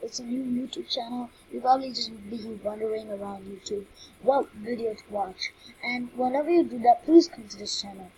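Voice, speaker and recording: A woman's voice: a little high, brisk, darkish tone. A young woman starting her first channel, talking in a rambling, hesitant way with many fillers, recorded on a cheap microphone. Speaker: new YouTuber. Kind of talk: casual vlog intro. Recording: faint background noise, poor mic.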